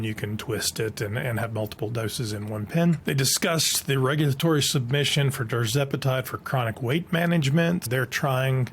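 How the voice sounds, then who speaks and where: A man with a mid-pitched voice presents an explainer for an online video. He is plain-spoken and slips informal asides into his commentary. There is some faint ambient noise behind him. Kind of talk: explainer commentary, partly reading aloud.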